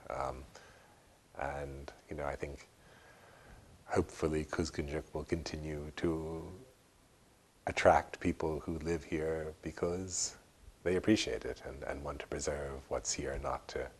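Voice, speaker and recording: A man's voice: a bass voice, steady tone, a little slow, breathy edge. A man giving reflective thoughts in an interview. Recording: faint background hiss.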